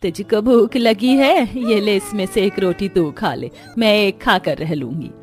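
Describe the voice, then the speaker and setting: A woman voicing a kindly, pious old village woman in a cartoon story, scolding yet gentle in plain village speech. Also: mid-range voice, unhurried, soft background music, close to an everyday voice. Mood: gentle, resigned.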